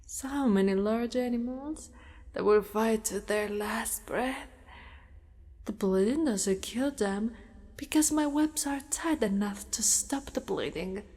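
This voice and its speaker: A woman voicing a possessive, menacing yet doting spider-monster woman in an ASMR roleplay, often whispering, in a deliberate, coaxing manner. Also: mid-range voice, a little mellow. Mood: coolly menacing. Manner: slow, deliberate, sinister coaxing. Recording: long reverberant cave-like echo.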